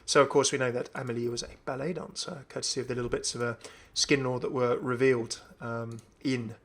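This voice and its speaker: A man's voice: medium pitch, steady tone, brisk. A man giving casual, off-the-cuff video game commentary as a host, chatty and often musing aloud. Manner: chatty, musing aloud, asks himself questions.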